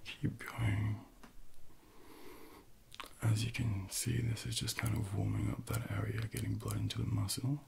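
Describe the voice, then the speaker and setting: A man in his thirties speaking in a soft, breathy near-whisper, gently and slowly coaching a workout as an ASMR personal trainer. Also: fairly low pitch, big pitch swings, a little hiss or hum. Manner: slow, gentle, hushed coaching.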